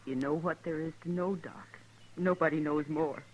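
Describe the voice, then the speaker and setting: An actress playing a humble, grieving frontier widow with rustic American speech in a 1950s radio western. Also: female voice, low voice, fairly even intonation, darkish tone, highs cut off, close to an everyday voice. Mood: gentle, grieving. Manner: rustic, halting, gracious. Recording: faintly noisy old radio recording.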